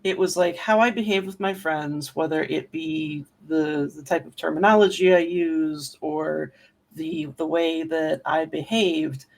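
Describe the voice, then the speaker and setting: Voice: medium pitch, steady tone, a little slow, vocal fry. A speaker with a male-sounding voice telling personal stories casually, in an informal and candid way, as a panelist.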